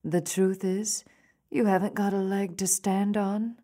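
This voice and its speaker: An actress playing a seductive, cold-blooded femme fatale killer in a noir audio drama, with a sultry and scornful manner. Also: female voice, mid-range voice, flat delivery, a little slow, dark tone, natural voice. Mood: sultry, taunting.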